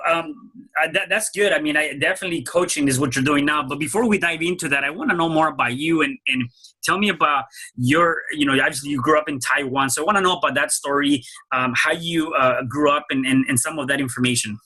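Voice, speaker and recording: A man's voice: a little high, a little fast, pushed and bright, husky, rough recording. A man hosting an interview podcast, introducing his guest and asking questions with many fillers and restarts. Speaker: podcast host.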